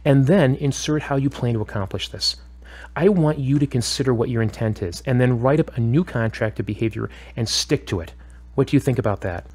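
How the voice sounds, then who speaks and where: A man acting as a calm, firm, probing therapist in a scripted audio drama, with a measured, instructive and questioning way of talking. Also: medium pitch, slightly rough, lightly acted. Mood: stern, firm.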